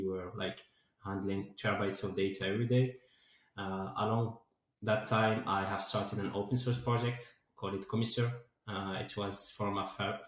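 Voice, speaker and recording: A man in his twenties from Morocco, answering interview questions as a guest and filling his sentences with "like" and "uh". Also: on the low side. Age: 20s. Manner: many fillers like "like" and "uh". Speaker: guest, DevOps engineer and founder. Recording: very echoey room.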